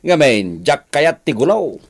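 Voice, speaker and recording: Snide carefree ilocano fella, radio drama, pitch jumps all over. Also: male voice, sing-song, a little slow, exaggerated voice. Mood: amused.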